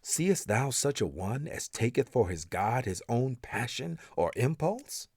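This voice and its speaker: A man reads an English translation of scripture aloud as narrator, in a solemn, measured manner with dramatic emphasis. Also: mid-range voice, big pitch swings, darkish tone, slightly rough, no echo. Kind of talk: expressive scripture recitation in English.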